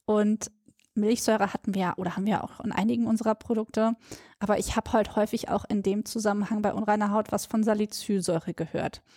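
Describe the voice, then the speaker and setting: A woman hosts a podcast in an upbeat, chatty way, steering the talk with questions. Her voice sits at a middle pitch. The sound is close and dry. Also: steady tone, fairly quick, dark tone, no echo. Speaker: podcast host. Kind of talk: podcast conversation.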